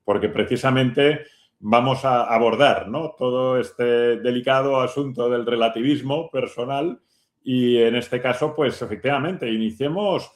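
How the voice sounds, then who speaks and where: A male radio host talks in a mid-pitched voice with a noticeable room echo. He interviews conversationally, pausing often and checking in with short tag questions. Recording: roomy, echoing sound.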